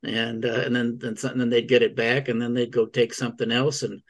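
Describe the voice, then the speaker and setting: A man's voice: medium pitch, little pitch movement, a little fast. Male book club participant, mid-range voice, rambling conversational delivery with repeated words. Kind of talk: casual group discussion.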